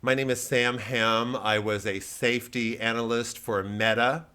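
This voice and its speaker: A man voicing an earnest tech whistleblower giving solemn, deliberate documentary testimony in a satirical comedy bit. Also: mid-range voice, steady tone, bright, forceful tone, clean tone. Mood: earnest, self-pitying.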